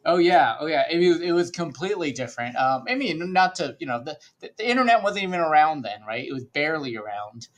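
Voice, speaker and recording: A man's voice: a little high, big pitch swings, quick pace, few pauses. A middle-aged man with a Boston accent, a guest attorney telling his story in a frank, conversational way.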